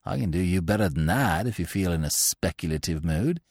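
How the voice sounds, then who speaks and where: A man reading an audiobook and voicing a sly, scheming young male gambler who talks in a low, smooth, insinuating way. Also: lively intonation, a little fast, a little mellow, no echo, clean studio sound, full character voice.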